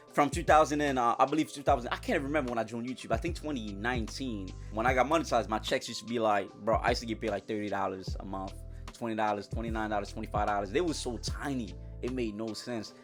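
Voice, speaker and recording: Young man from Congo, French native, upbeat vlogger, lots of slang, middle pitch, faint music bed. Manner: energetic, slangy, addresses viewers directly. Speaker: YouTuber. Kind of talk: casual motivational vlog talk.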